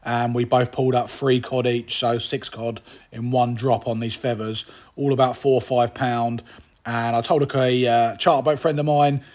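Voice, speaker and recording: A middle-aged man from England, host of a fishing channel, talking casually over video with a relaxed, chatty manner full of asides. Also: mid-range voice, normal speed.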